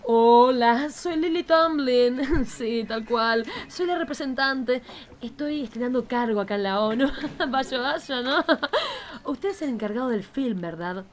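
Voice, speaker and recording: A woman's voice: fairly high pitch, lively intonation, measured pace. A woman playing a nervous, flirtatious female diplomat in a campy radio play, with gushing, giggly exclamations.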